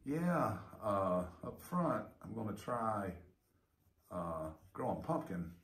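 A man's voice: on the low side, very animated, takes it slowly. A middle-aged man chatting casually and rambling with lots of fillers as he gives a garden update. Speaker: gardening vlogger. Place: indoors by a big window with seedlings; yard walk outdoors. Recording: roomy echo, faint noise.

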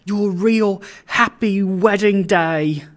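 A narrator voicing a doting, excitable old working-class father in an audiobook, exclaiming and repeating himself exuberantly. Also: mid-range voice, slow pace, lightly acted. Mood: overjoyed, tender.

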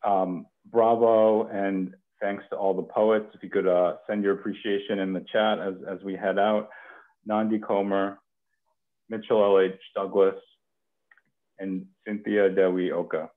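Low-voiced man on a tinny call. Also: level tone, a little slow. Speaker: poetry reading host. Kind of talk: hosting and reading poetry. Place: home kitchen. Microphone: headset on video call. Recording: muffled phone-like video call.

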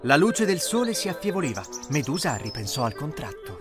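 Male fairy-tale narrator, animated pitch, music bed behind. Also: brisk. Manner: expressive, storytelling. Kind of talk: storybook narration.

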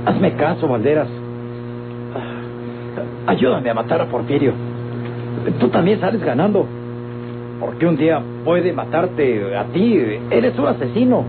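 A man's voice: fairly high pitch, very animated, measured pace, pauses often. An actor playing a crafty old captive man in a Mexican radio drama, with a theatrical, pleading and protesting delivery and a Mexican accent. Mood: persuasive. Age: older. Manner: theatrical, groaning, begging and protesting. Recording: muffled old radio recording with loud hum.

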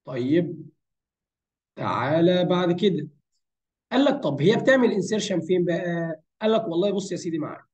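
A man's voice: high-pitched, expressive, brisk, pauses often, darkish tone. A man with an Egyptian Arabic accent and a tired, strained voice gives an informal tutorial, mixing in English medical terms and answering his own questions. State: hoarse, strained voice from fatigue. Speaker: anatomy lecturer. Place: voice-over for a screen recording.

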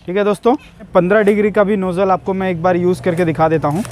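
High-voiced young man demoing a tool. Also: little pitch movement, fast talker, darkish tone, a little hiss or hum. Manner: chatty, addresses viewers as friends. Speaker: product reviewer. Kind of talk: casual product demo. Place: outdoors in a yard, washing a car.

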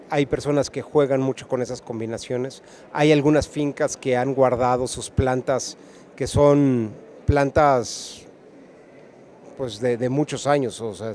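Man, forties, Mexican accent, emphatic interviewee, middle pitch, handheld mic, slight hall noise. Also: darkish tone. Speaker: trade show director. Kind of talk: spoken interview. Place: large indoor expo hall. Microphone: handheld interview microphone.

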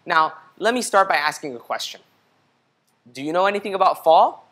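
A man's voice: high-pitched, lively intonation, moderate pace, a bit bright. A young man reading a children's story aloud, instructing and putting questions to young listeners. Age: young adult. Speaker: storybook reader. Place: indoor studio room. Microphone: lapel mic.

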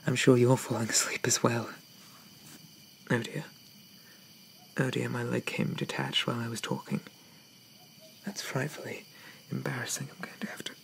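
A man playing a shy, gentle, polite undead gentleman in a hesitant, breathy, half-whispered ASMR roleplay. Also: mid-range voice. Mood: flustered, apologetic. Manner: hesitant, apologetic, softly murmured, old-fashioned politeness.

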